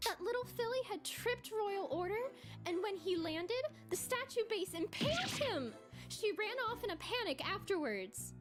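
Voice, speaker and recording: A squeaky-high pony witness, acted by a woman, who tells what she saw with swooping, theatrical alarm. Her lines sit over a bed of game music. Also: very animated, moderate pace. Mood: horrified. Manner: dramatic, exclaiming. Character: excitable female pony eyewitness, dramatic and breathless. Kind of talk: fan game voice acting.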